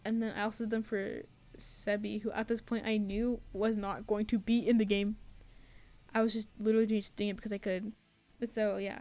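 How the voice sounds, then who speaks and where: Casting: young female student creator, slightly high voice, informal self-deprecating devlog narration with a giggly, chatty delivery. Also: a little mellow. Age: young adult. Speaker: student game developer and artist. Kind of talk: casual devlog voice-over, rambling chat.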